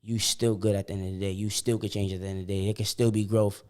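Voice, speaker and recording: A man's voice: fairly low pitch, quick pace. Slangy young man telling stories animatedly. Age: 20s. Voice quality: repeats words when starting sentences. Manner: animated storytelling, slangy, often acts out quotes. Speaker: podcast co-host. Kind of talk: casual chat.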